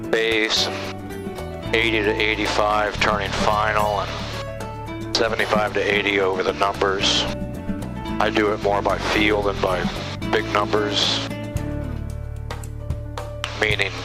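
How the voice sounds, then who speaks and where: Older man, low voice on cockpit intercom. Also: little pitch movement, slow, pauses often. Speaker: pilot in command. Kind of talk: casual instructional cockpit talk. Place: small airplane cockpit in flight. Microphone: aviation headset boom mic. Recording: intercom headset audio with music behind.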